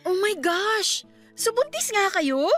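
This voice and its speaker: A high-voiced, caring young niece. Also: female voice, sing-song, takes it slowly, a bit bright, soft background music, exaggerated voice. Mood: excited. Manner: polite, emphatic, reassuring. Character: caring, excitable young niece, supportive and reassuring. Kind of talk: Tagalog radio drama acting.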